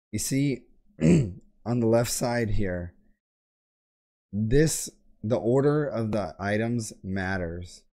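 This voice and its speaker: Hoarse young man with a sore throat. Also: lowish voice. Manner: relaxed explaining, frequent throat clearing. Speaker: tech tutorial host. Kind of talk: casual screen-share tutorial. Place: home gaming room. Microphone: boom mic close to face, headset on.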